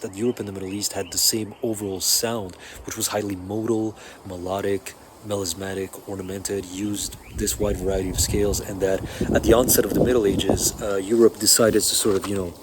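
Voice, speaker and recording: A man's voice: lowish voice, slight background noise. A young man explaining music history to camera in a casual, earnest way, rambling and emphatic with many restarts, filmed on a phone. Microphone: phone camera at arm's length.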